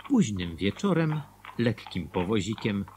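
An actor playing a former small-town mayor who recounts the town's history in a radio drama, husky and expressive. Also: male voice, fairly low pitch, unhurried, slight background noise. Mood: wry, expressive.

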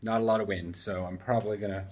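A man's voice: fairly low pitch, fairly even intonation, fast, a little mellow, slightly rough. A man in his thirties rambling casually with jokey asides, as in a vlog, recorded at arm's length in an apartment. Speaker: vlogger. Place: apartment living room and open kitchen. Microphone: handheld camera at arm's length.

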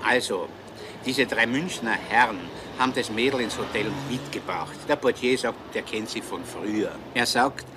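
An actor playing a genial, talkative middle-aged police inspector with a Viennese accent in a radio crime drama. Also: male voice, medium pitch, normal speed, close to an everyday voice. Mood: chatty. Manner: chatty, easygoing, lively. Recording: hissy old radio recording.

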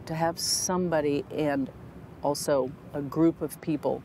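A woman's voice: sits low, steady tone, unhurried, mellow, a little hiss or hum. A middle-aged woman, a theater director, talking earnestly in a documentary interview, with a few restarts. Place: theater lobby, indoors.

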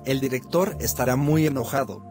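A man voicing a bratty bald cartoon boy who mocks grown-ups, with a flat, sneering delivery over music. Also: mid-range voice, fairly quick, lightly acted. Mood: smug. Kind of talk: fan-made cartoon dub.

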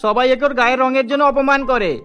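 Casting: man to play earnest young newlywed son, cartoon dub, fast and very high-pitched, insistent. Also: fairly even intonation, a bit bright, smooth, dull audio, soft background music. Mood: proud, pleased. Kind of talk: melodramatic cartoon dubbing.

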